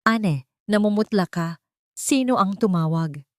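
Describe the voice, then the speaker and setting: Earnest, protective Filipino gentleman, read by a woman. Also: medium pitch, lively intonation, measured pace, no room sound, studio quality, lightly acted. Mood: worried. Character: protective, caring male boss, steadfast and reassuring.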